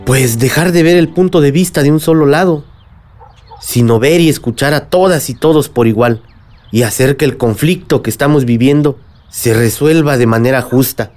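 A man's voice: medium pitch, moderate pace, a little mellow, lightly acted. Earnest middle-aged Mexican village leader, played by a man, over music. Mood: remorseful, earnest. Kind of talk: radio drama acting.

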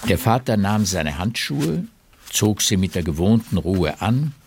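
Very deep male literary narrator. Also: sing-song, unhurried, vocal fry, slight background noise. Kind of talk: literary scripted narration for radio drama.

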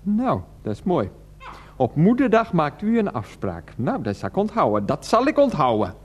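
A flustered grown son in a radio play. His pitch leaps about as he talks to his mother, pleading in halting, repeated bursts, exasperated and insistent. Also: huge pitch swings, a little hiss or hum. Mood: hurt, defensive.

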